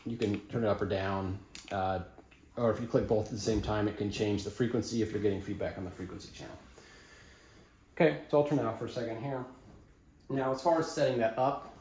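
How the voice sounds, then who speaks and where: A man giving a casual how-to demonstration on audio setup for dance classes, relaxed and rambling with blunt opinions. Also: lowish voice, fairly quick, slight background noise. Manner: relaxed, rambling, many fillers and restarts. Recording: echoey room.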